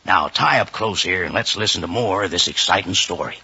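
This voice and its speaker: An actor playing a jolly old cowboy pitchman with a gravelly, drawling voice in an old radio commercial. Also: brisk, full character voice. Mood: jolly, chummy. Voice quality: husky, gravelly. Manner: drawling, chummy, animated. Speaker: character pitchman in cereal commercial. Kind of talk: 1950s radio ad, cowboy character. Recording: dull old radio recording.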